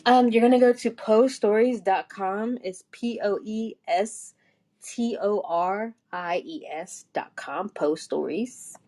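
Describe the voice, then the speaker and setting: A woman's voice: medium pitch, takes it slowly, a little mellow. A woman co-hosting a podcast in casual, informal conversation. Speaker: podcast co-host. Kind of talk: casual chat.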